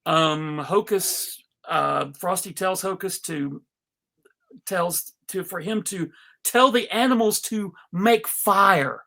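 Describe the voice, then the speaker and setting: A man presenting an informal live script reading, halting and amused, with frequent asides. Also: sits high, vocal fry, sharp tone. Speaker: panel reader and presenter.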